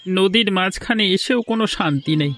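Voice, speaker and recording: A man's voice: high-pitched, expressive, normal speed, a bit bright, soft background music. A man voicing an earnest, insistent younger village man in a cartoon fairy tale, with emphatic delivery. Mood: earnest, fed up.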